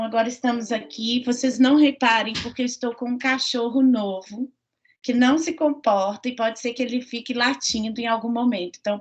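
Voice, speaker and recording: A middle-aged woman with a Minas Gerais accent, a university professor giving an online lecture in a friendly way, full of fillers and tag questions. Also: a little high. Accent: Minas Gerais Portuguese. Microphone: computer mic on a video call. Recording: dull lo-fi video call audio.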